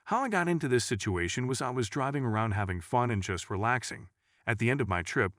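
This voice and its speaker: Man, low-pitched voice, scripted first-person storytelling narration, close dry sound. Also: fairly bright tone, no room sound.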